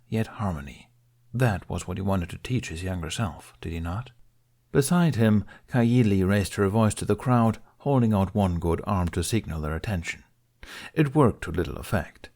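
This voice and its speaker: Low-voiced man narrating fiction. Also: a little mellow. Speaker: audiobook narrator.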